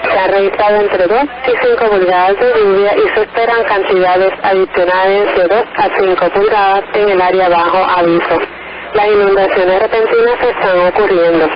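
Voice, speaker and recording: A man's voice: high voice, steady tone, moderate pace. A man formally reading a scripted emergency weather bulletin as a radio announcer. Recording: muffled, noisy weather radio broadcast.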